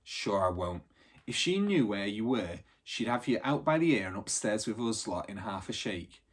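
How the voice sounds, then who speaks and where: A man's voice: on the low side, big pitch swings, normal speed, breathy edge. A man voicing a bossy, impatient but loyal young girl from a street gang in a storybook, with slangy scolding. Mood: firm, conspiratorial.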